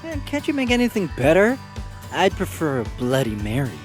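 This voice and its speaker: Man acting a whiny, cocky youth with swooping pitch. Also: a little fast, music in the background. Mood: cheeky. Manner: excitable, complaining, slangy. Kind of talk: audio drama acting.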